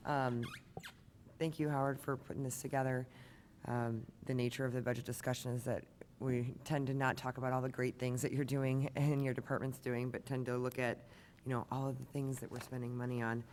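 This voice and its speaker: A man with a raspy voice serves as an alderman and questions staff at a city council meeting. He works through his points in a halting, methodical way. Faint background noise sits under the voice. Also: mid-range voice, flat delivery, a little mellow. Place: council chamber.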